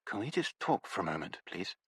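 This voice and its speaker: A man doing a timid, hushed posh girl. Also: mid-range voice, expressive, quick pace, dry recording, studio quality. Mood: pleading. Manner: quiet, pleading, polite. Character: soft-spoken posh teenage girl, timid.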